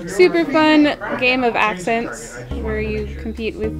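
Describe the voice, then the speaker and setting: A woman's voice: fairly high pitch, measured pace. A woman co-hosting a game-shop live stream with casual commentary. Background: guitar music.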